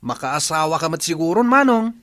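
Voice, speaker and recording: A woman's voice: deep voice, expressive, a little fast, fairly bright tone. A woman with an Ilocano accent playing an admiring, teasing younger student sibling in a radio drama. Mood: excited, teasing.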